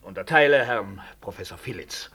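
An actor in a 1960s German radio play, playing an officious, scheming professor who talks in formal, procedural phrases. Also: male voice, lowish voice, expressive, moderate pace, bright, forceful tone, slightly rough, exaggerated voice. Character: officious, scheming male professor, rival deputy director.

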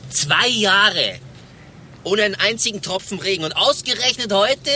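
An actor playing a restless, teasing administration chief in a radio play, with clipped, offhand answers. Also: male voice, high voice, expressive, normal speed, bright, forceful tone, a little hiss or hum, heavily acted. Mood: matter-of-fact. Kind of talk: German radio play acting.

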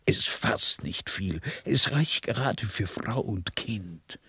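An actor playing a timid, shabby little money-changer in a radio play, wistful and resigned, with a husky, halting delivery. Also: male voice, lowish voice, lively intonation, sharp tone, heavily acted. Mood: resigned musing.

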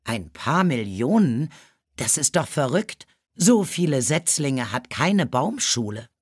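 A man's voice: mid-range voice, sing-song, slow, husky, dry recording, studio quality, full character voice. A man voicing a worried, doubtful village woman in a children's storybook, complaining and incredulous.

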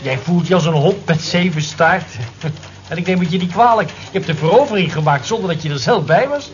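Cheeky, high-voiced male office colleague. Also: animated, brisk. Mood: teasing. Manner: bantering, prodding, wry. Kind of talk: Dutch radio drama acting. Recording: dull lo-fi old radio recording, clear noise.

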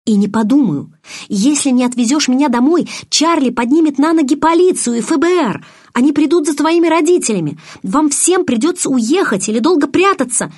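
A woman's voice: on the high side, a little fast, darkish tone, breathy edge, voice pushed up. Woman acting a frantic, stubborn teenage girl. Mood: furious, desperate. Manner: insistent, pleading outbursts. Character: frightened, furious, stubborn teenage girl heroine. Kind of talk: dramatised audiobook reading.

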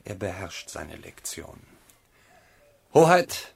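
Stern royal tutor, lowish voice, clipped, commanding, radio drama. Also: male voice, very animated, takes it slowly, vocal fry, slightly rough, full character voice. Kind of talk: radio play acting.

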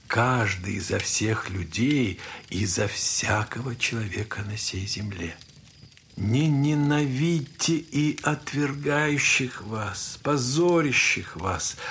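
An actor playing a humble, loving old monastery elder in an audio drama, preaching solemnly and gently, at times hushed. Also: male voice, on the low side, a little hiss or hum. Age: older. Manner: measured, solemn, gently exhorting.

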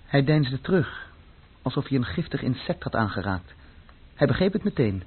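An actor playing a disillusioned, bored young man in a radio play, blunt and questioning, with a husky voice. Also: medium pitch. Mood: bleak. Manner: world-weary, questioning. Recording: muffled, phone-like old radio recording.

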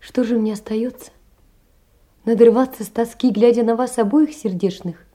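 An actress plays a sickly, poor young woman in a stage drama. Her voice is very dark and soft-edged, and she speaks in an earnest, plaintive way, blaming herself and aching with sorrow. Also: on the high side, fairly even intonation, moderate pace, lightly acted. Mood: anguished, pleading. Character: sickly, anguished, self-reproaching poor young woman writing letters. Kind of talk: theatrical monologue, letters read as drama.

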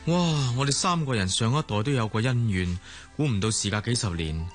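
An actor playing a blunt, excitable young grandson in a radio drama, with a Hong Kong Cantonese accent. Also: male voice, lowish voice, a little fast, faint music behind, natural voice. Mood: amazed.

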